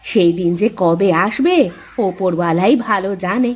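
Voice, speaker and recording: A woman's voice: mid-range voice, moderate pace, natural voice. A woman voicing a nagging, hardworking village mother in a moral-story cartoon, chiding and complaining as she talks.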